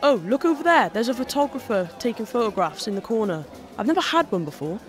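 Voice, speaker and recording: A laid-back young wedding guest. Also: male voice, extremely high pitch, big pitch swings, quick pace, crowd noise. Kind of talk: audio drama acting.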